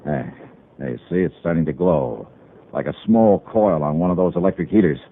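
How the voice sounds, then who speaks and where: An American man playing a sharp, confident freelance insurance investigator in 1950s radio drama, with an insistent, wry, emphatic delivery. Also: rumbling low, lively intonation, normal speed, slight background noise. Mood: pressing, accusing. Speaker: star of the radio series. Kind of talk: 1950s radio detective acting. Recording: tinny, band-limited old radio broadcast.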